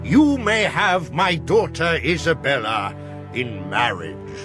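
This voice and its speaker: A man's voice: fairly high pitch, big pitch swings, slow pace, music in the background. An actor playing a noble father in a gothic radio drama, speaking in a stately, declamatory manner. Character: noble father granting his daughter in marriage.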